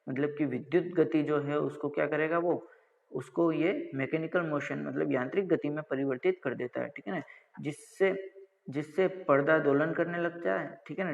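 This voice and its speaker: A man's voice: on the high side, creaky. A male lecturer explains technical material didactically, asking and answering his own questions and often checking that listeners follow. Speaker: college lecturer. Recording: dull lo-fi audio with long reverb.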